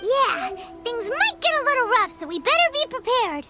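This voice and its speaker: Squeaky fairy companion. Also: female voice, very animated, normal speed, loud music behind the voice. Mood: eager. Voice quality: squeaky, shrill. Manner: indignant outbursts. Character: tiny excitable female fairy companion.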